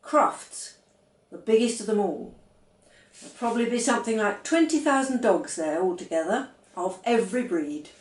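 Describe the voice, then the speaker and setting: A woman's voice: mid-range voice, animated, moderate pace, natural voice. A woman voicing a mild, hesitant dad, echoey room. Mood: reassuring. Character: fond father, mild, teasing and easygoing man.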